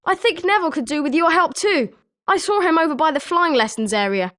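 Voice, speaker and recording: An excitable British schoolboy wizard, voiced by a woman, speaks in a high young voice. The pitch swings freely through eager, scripted video game lines. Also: fairly quick. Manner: animated, expressive.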